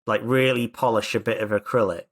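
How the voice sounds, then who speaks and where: A man's voice: lowish voice, fairly even intonation, fairly quick, fairly bright tone. A man co-hosting a casual podcast, joking emphatically and repeating words for comic effect. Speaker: podcast co-host and player.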